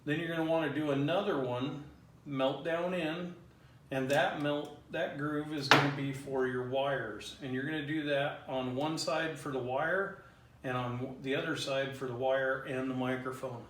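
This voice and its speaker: A man's voice: mid-range voice, fairly even intonation, unhurried. A man giving a casual, unscripted how-to in plain, informal language, as a hobbyist presenter. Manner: plain-spoken, informal, colloquial. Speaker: hobbyist presenter demonstrating a DIY headset build. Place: roomy garage. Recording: roomy echo, distant mic, faint noise.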